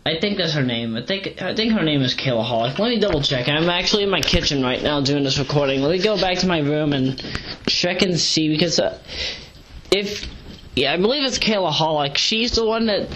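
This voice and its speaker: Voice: mid-range voice, lively intonation, a little fast, fairly bright tone. A person giving a casual, rambling review as an audio log, often repeating and correcting themself, recorded at home. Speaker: amateur online reviewer. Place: at home, moving between kitchen and bedroom. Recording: muffled, noisy home audio with fan. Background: fan running.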